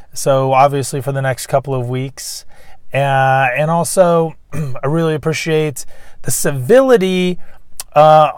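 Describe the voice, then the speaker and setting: A man with a cold hosting a casual sports fan video, chatty with lots of fillers and throat clearing. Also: fairly high pitch, darkish tone, no echo, clean studio sound. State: losing his voice, getting sick. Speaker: YouTube fan channel host. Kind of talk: casual sports preview vlog.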